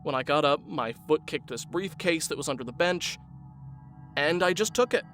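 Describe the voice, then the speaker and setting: A man's voice: fairly high pitch, expressive, moderate pace, fairly bright tone, faint music behind. An actor playing a shaken young man who trusts no one, earnest and tense.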